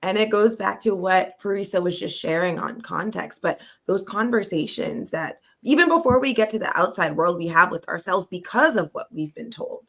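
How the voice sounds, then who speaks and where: A woman speaking in a heartfelt, measured way on a panel discussion, slowing down to stress her points. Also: medium pitch, animated. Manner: heartfelt, measured, drawn-out emphasis.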